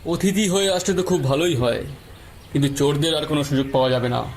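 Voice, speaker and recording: A man's voice: on the high side, fast, a little hiss or hum, lightly acted. A man playing a stern, accusing village police officer in a cartoon story.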